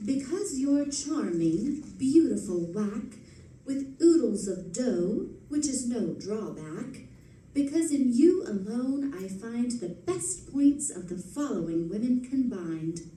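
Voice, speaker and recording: Woman acting a mellow, teasing radio-play society wife. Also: a little high, animated, takes it slowly. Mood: playful teasing. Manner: teasing, arch delivery. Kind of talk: live recreation of 1940s radio acting. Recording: roomy stage recording from a distance.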